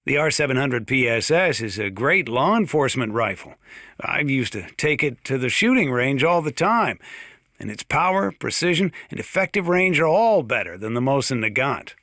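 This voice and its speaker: An actor voicing a folksy, gun-loving sheriff in a video game, chatty and opinionated, as if hosting a radio monologue. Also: male voice, medium pitch, very animated, moderate pace, pushed and bright, no echo, clean studio sound. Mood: fond, chatty. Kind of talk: scripted radio monologue, folksy instructional commentary.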